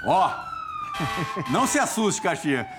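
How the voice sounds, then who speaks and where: A man's voice: sits high, huge pitch swings, slow pace, very noisy. A man hosting a talk show, playful and joking with guests. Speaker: host.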